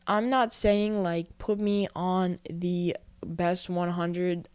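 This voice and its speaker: Chatty young woman with a smooth voice. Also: lowish voice, level tone, takes it slowly, a little mellow, no room sound. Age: early 20s. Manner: chatty, self-reflective, full of "like" fillers. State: a little out of breath. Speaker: podcast host. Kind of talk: casual solo podcast monologue.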